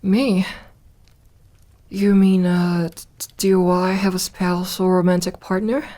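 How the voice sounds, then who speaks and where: A woman's voice: fairly low pitch, little pitch movement, takes it slowly, dark tone. A woman playing an ancient, lonely vampire in an ASMR roleplay, playful and tender, with a teasing drawl that turns hesitant when flustered. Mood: gentle, sad.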